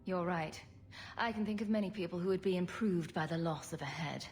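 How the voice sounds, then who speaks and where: Actress as sarcastic, lowish-voiced heroine. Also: female voice, brisk, a little mellow, a little hiss or hum, lightly acted. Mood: dry sarcasm. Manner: dry, sarcastic. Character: sarcastic, wisecracking heroine, adult human woman champion. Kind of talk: video game cutscene acting.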